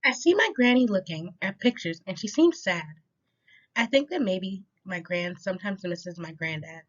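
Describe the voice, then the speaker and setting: A woman reading a children's rhyming picture book aloud in an expressive, clearly paced way, as a storytime reader. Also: mid-range voice, moderate pace. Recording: dull lo-fi sound.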